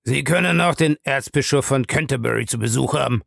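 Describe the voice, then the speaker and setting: A man voicing a shady, pushy male schemer in an audiobook, insistent, ironic and scornful, with a gravelly voice. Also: mid-range voice, animated, normal speed, no echo, studio quality, voice pushed up. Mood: offended, businesslike. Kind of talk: audiobook character dialogue.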